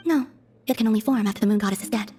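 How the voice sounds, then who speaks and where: A woman playing a serene, enigmatic young moon goddess in a video game cutscene, speaking formally, softly and with detachment. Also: a little high, lightly acted. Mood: detached. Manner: measured formal phrasing, soft, detached.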